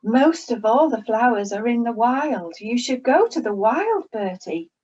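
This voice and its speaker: An eager young boy from a picture book, voiced by a woman reading aloud. His lines are bright and helpful with a lively pitch. The sound is slightly muffled. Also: unhurried, breathy edge.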